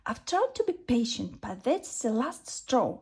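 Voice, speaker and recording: A woman's voice: on the high side, very animated, measured pace, a little mellow, husky, heavily acted. A woman voicing a little female witch who is impatient and hot-tempered, exclaiming in huffy bursts. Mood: angry.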